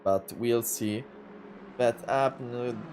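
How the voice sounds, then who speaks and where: A man's voice: medium pitch, slow pace. Italian guy in his 20s doing race commentary, english is his second language, lots of uhs, a bit of car noise in the back. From Italy. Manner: hesitant, many fillers and restarts; gets louder and more excited in close battles. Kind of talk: sim racing commentary.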